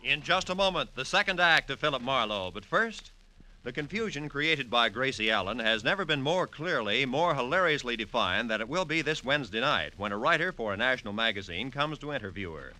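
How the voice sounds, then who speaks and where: A man's voice: mid-range voice, expressive, a little fast, sharp tone, poor audio. A man announcing in a polished, emphatic, formal 1940s radio style, reading a scripted promo.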